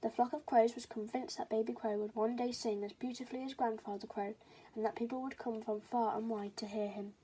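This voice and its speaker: A woman's voice: fairly high pitch, steady tone, a little fast, warm tone, a little hiss or hum, rough recording. A woman reading a children's story aloud as scripted storybook narration.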